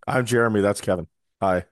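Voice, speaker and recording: A man co-hosting a podcast in casual chat, his voice hoarse and scratchy, talking in a wry, grumbling way. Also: lowish voice, moderate pace, dry recording, studio quality. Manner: wry, grumbling, mimics others in quotes. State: hoarse, recovering from lost voice. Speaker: podcast co-host.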